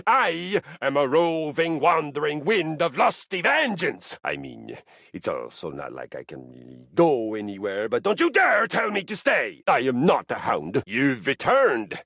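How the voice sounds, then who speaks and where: Boastful game Viking, wildly dynamic pitch, gravelly creak, slow theatrical phrasing, lusty and violent. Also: male voice, sing-song, fairly bright tone, no room sound, clean studio sound, exaggerated voice. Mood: indignant, proud. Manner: grandiose, theatrical, archaic phrasing. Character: boastful, violent, lusty Viking warrior, personified exercise machine. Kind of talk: video game character acting.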